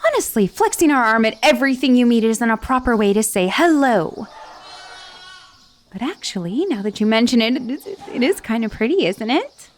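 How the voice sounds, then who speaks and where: A woman's voice: a little high, animated, moderate pace, natural voice. A woman voicing a bubbly, doting female titan head who fusses and gushes in an ASMR roleplay. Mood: fussy. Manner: fussy, gushing, prim.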